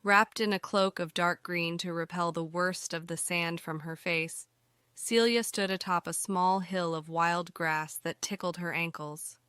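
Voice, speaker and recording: Casting: female fantasy audiobook narrator, bright, extremely clear voice, scripted storytelling. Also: fairly low pitch, fairly even intonation, a little slow, no echo, studio quality.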